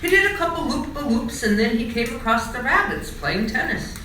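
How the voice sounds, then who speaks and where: A woman reading a children's storybook aloud in an expressive, dramatic storytelling style. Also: high-pitched, brisk. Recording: echoey room, distant mic, faint noise.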